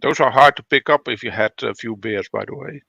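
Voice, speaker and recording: A man talking casually as a player in a tabletop roleplaying game, halting as he thinks aloud. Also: mid-range voice, creaky, pushed and bright, no echo, dull audio. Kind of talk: casual chat during game play.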